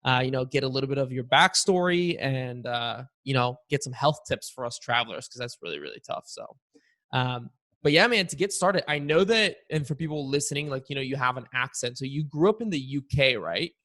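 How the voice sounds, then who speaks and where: A man's voice: medium pitch, animated, brisk, bright, forceful tone, dry recording. Upbeat young man hosting a podcast. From Bulgaria. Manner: upbeat, chatty, many fillers like "uh" and "like". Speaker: podcast host. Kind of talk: casual interview chat with ad read.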